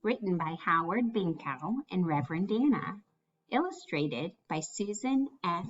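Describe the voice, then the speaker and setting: Lady reading a kids picture book out loud, slow n super sing-song up and down, kinda muffled recording. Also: fairly low pitch. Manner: expressive, deliberate storytelling. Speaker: school staff member reading a children's book aloud. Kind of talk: children's picture book read-aloud.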